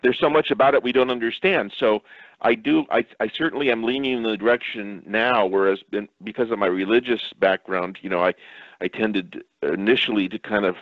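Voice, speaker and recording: A man in a casual discussion, speaking in a measured, reflective way and hedging his views. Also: on the low side, dry recording, highs cut off. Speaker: panel guest.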